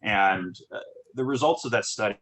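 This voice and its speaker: A man from Southern California, a startup founder telling his background in a relaxed talk, with many restarts and fillers. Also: fairly low pitch, moderate pace, low-quality recording. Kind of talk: conversational talk on a group call.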